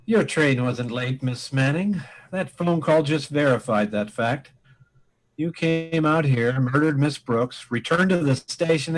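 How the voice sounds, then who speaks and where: A man's voice: mid-range voice, lightly acted, poor audio. Stern, smug inspector played by a man. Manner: measured, accusatory. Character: male inspector, stern and smugly certain. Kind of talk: 1940s-style radio mystery acting.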